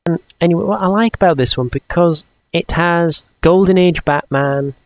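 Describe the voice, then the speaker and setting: A man of twenty-one giving a casual, chatty comics review for a vlog, rambling and often halting mid-sentence. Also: on the high side. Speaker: vlogger.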